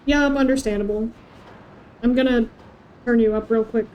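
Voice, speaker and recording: A woman's voice: fairly high pitch, steady tone, normal speed, lo-fi sound, slight background noise. A woman chatting casually and wryly while she plays through a video game on stream. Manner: playful, wry. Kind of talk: casual playthrough chat.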